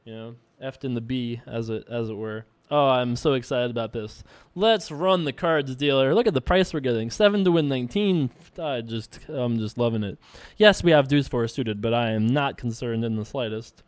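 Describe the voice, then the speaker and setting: A man's voice: medium pitch, normal speed, clear, resonant tone, no echo. A man hosting a poker video, commenting casually on his hands as he plays, rambling and joking at his own expense. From United States. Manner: rambling, jokey, self-mocking, laughs at his own jokes. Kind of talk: casual live commentary over online poker play.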